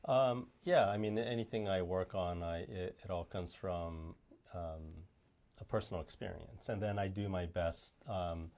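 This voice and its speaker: A man from the United States, a film director, speaking haltingly with many pauses in a casual interview. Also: low voice, darkish tone. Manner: halting, many ums and restarts.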